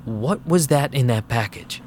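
A man's voice: medium pitch, expressive, measured pace, darkish tone, a little hiss or hum, lightly acted. An actor playing a weary, rattled vampire club DJ who narrates his night in a wry first-person audio drama style. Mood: exasperated. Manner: wry, sardonic. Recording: music behind the voice.

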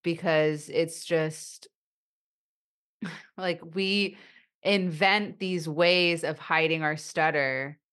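Woman podcast host who stutters; low voice, slow, thoughtful delivery. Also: fairly even intonation, a bit bright, clean tone. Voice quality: stutters with repetitions and blocks. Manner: reflective, thoughtful. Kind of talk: conversational interview.

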